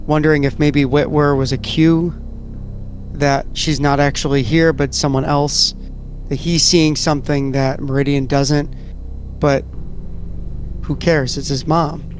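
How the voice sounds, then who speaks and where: A man playing a tabletop horror game, narrating his character's thoughts and actions deliberately. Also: medium pitch, low-quality recording. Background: background music.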